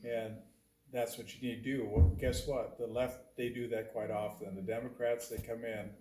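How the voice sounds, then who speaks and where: A man's voice: mid-range voice, little pitch movement, moderate pace. An older man running for state senate, giving an earnest, plain-spoken campaign speech at a community meeting and leaning hard on key words. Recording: echoey hall, faint background noise.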